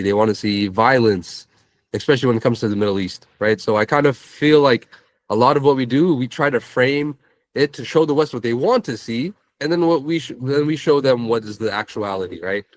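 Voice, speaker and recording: A man's voice: mid-range voice, fairly quick, no echo. A man answering as an interview guest, casual and reflective, often checking that the listener follows. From San Francisco Bay Area, California. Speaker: interview guest, social media creator.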